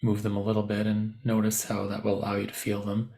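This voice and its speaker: A man leading a guided meditation, giving gentle, unscripted instructions with softened, informal phrasing. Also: lowish voice, monotone, fast talker, creaky.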